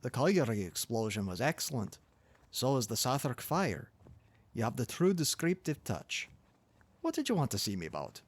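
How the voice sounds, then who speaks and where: A man's voice: mid-range voice, very animated, normal speed. A man voicing a kindly, wry old newspaper editor with a Scottish accent in an audiobook story. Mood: kindly, approving.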